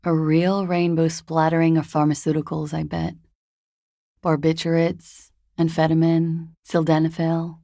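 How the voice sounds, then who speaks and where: Casting: hungover, guarded young disco dancer for a game. Mellow, dark tone, close dry sound, wry trailing delivery. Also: female voice, deep voice, completely flat delivery, measured pace, vocal fry, no echo. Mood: dry sarcasm. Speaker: voice actor in a video game. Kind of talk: video game character dialogue.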